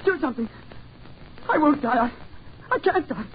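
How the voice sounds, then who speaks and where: An actor playing a cowardly diplomat losing his poise, halting and repeating himself, in a 1940s radio horror drama. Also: very high-pitched, lively intonation. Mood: hysterical panic. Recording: noisy, muffled 1940s radio recording.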